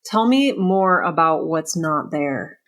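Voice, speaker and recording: A woman with a slightly low voice hosts a casual podcast interview. She talks informally and earnestly, effusive in how she responds to her guest. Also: expressive, slow.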